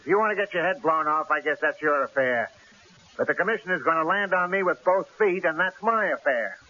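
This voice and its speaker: An actor playing a cautious, exasperated newspaper editor in an old-time radio drama, brusque and argumentative. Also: male voice, a little high, animated, fairly quick, pushed and bright. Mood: worried, argumentative. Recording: hissy, dull old radio broadcast recording.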